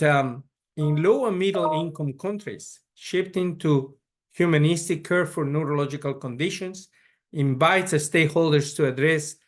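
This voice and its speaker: Measured man lecturing. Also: a little high. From Ecuador. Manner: measured, frequent restarts and repeated words. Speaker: pediatric neurologist lecturer. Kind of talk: conference lecture.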